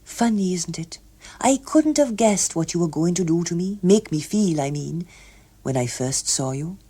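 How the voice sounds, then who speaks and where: A woman's voice: on the low side, lively intonation, moderate pace, warm tone, breathy edge. A woman voicing an earnest, lovesick, self-pitying man with a hesitant, pleading manner in an audiobook.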